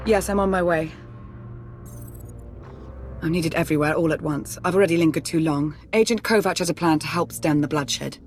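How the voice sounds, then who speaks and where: An actress playing a composed but urgent intelligence minister in a video game, formal, clipped and businesslike. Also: female voice, deep voice, normal speed, long pauses, darkish tone, faint music behind, natural voice. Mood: hurried, resolute. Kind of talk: video game cutscene acting.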